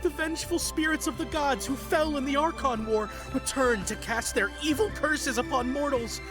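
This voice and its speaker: A woman's voice: sits high, normal speed, natural voice. A woman voicing a frightened young villager in a video game who believes a curse has been laid on them, panicky and stammering. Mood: dramatic. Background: game music.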